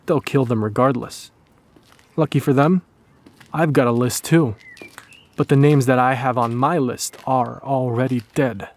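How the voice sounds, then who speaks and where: A man's voice: mid-range voice, steady tone, measured pace, a little mellow. A man playing a bitter, brooding Polish resistance executioner narrating his wartime journal in a dramatic audiodrama.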